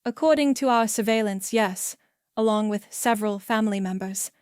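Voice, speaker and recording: Sex: woman. Figure: a woman playing a firm federal agent, brisk and steady. Sound: dry, close. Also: mid-range voice, clean tone, no echo, natural voice. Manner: brisk, matter-of-fact, reassuring.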